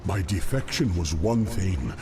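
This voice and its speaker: A man voicing a sinister, subservient demonic dreadlord in a video game, with a gravelly voice. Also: deep voice, sing-song, unhurried, music in the background, heavily acted. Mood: reluctant.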